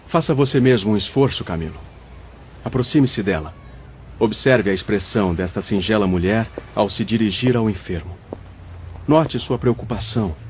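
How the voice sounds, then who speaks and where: A man's voice: on the low side, slight background noise, lightly acted. A man playing a wise spirit mentor in an audio drama, solemn, formal and didactic. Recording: dull lo-fi audio, faint music.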